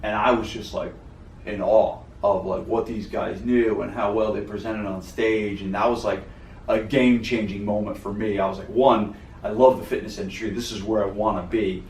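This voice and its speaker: A man with a lowish voice talks through his story in a casual podcast, earnest and meandering, full of "like" and "you know." He sits back from the mic in a roomy studio with a faint hiss behind him. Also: fairly even intonation, normal speed, a little mellow, far from the mic. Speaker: gym owner and co-host.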